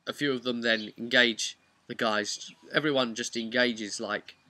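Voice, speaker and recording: A man's voice: medium pitch, steady tone, measured pace, bright, forceful tone, a little hiss or hum. A man speaking informally as a game master, recounting a tabletop campaign with emphatic asides. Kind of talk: vlog storytelling, informal explanation.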